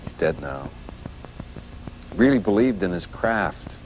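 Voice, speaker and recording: An actor playing a solemn, weary 1980s TV news anchor with measured, halting delivery. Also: male voice, low-pitched, expressive, exaggerated voice. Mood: somber, reflective. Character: grave, weary male network news anchor covering nuclear crisis. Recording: noisy old TV broadcast audio.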